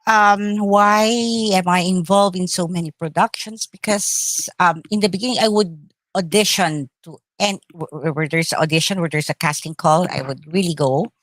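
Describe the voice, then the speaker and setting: A middle-aged woman with a Filipino accent, whose first language is Tagalog, answering interview questions thoughtfully with many pauses and uhs. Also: lowish voice, fairly even intonation, fairly bright tone, no room sound. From Philippines. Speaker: actress, interview guest.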